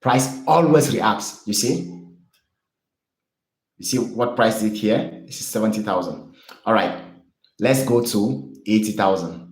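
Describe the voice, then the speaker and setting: A man's voice: on the low side, measured pace, pauses often, reverberant, low-quality recording. A young man from Cameroon streaming live, chatting with viewers and explaining charts in an upbeat, repetitive way. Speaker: trading streamer.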